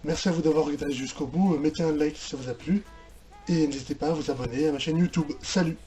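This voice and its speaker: A man giving casual reaction commentary as a video host, halting and often restarting his phrases. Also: a little high, over music. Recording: roomy home recording with background noise.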